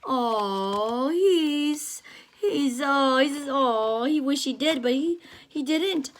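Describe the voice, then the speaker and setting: A woman reads a picture book to a young child, pausing often to gasp with delight and coax him to look and answer. Her high voice leaps up and down in wide, lively swings. She takes her time, drawing every word out very slowly. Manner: animated, gasping and gently coaxing. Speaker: read-aloud storyteller.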